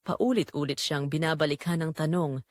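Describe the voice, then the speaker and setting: A woman narrating a romantic drama in a measured, sentimental storytelling manner. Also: sits low, steady tone, no room sound, clean studio sound.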